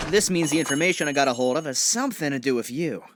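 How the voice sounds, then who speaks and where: A cocky young gunman from a crime gang, acted by a man, pitched fairly high and swinging freely up and down as he banters. He is breezy and playful, in an audio drama style. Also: lively intonation, fast talker, a bit bright, slight background noise. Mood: cocky, bantering.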